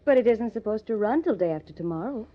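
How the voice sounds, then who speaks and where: A woman's voice: mid-range voice, animated, fast talker, natural voice. An actress playing a coy, pouting young sweetheart of a newspaperman in an old-time radio crime drama. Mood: coy, teasing. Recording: dull lo-fi old radio recording.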